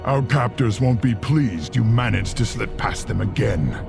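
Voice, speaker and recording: A man voicing a weary, proud orc warrior with a gruff, gravelly voice in a fantasy video game. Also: lowish voice, animated, unhurried, exaggerated voice. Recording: loud music under the voice. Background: loud game music.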